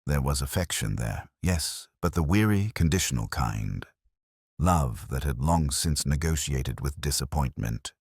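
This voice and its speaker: Very deep, brooding male narrator. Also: lively intonation, darkish tone, breathy edge, no room sound, studio quality. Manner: measured, literary, brooding. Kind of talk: scripted first-person detective story narration.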